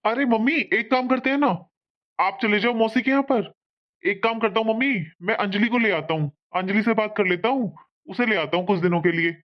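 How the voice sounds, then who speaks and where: A man voicing a helpful, easygoing young adult son in an animated family drama, talking in a practical, reassuring, casual way. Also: sits high, brisk, natural voice. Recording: dull, lo-fi sound.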